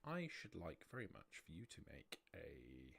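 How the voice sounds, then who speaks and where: A man narrating a tabletop roleplaying game as game master, improvising in a halting way. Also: a bass voice, wildly swooping pitch, gravelly, noisy background.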